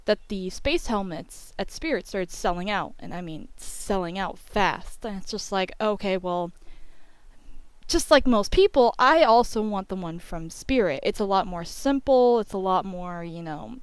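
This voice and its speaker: A young woman tells a rambling, informal story for an online video. Her voice is smooth and clean at a middle pitch, recorded close and dry. She talks like she is chatting with friends, dropping in "like" and "you know" as she goes. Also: animated, a little slow, no echo. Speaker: YouTube storyteller. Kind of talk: casual rambling story time voice-over.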